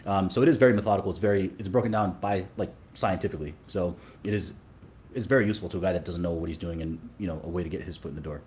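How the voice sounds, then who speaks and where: Fast-talking deep-voiced man. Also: slight background noise. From Sudan. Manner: fast, relaxed, many fillers and restarts. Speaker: dating coach. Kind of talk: casual interview talk.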